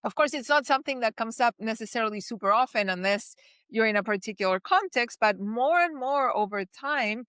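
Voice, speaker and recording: A woman in her fifties from Chile, a guest on a podcast, speaking in a direct, blunt and animated way. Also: fairly high pitch, fairly quick, no echo, clean studio sound. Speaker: podcast guest, magic teacher. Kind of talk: casual interview.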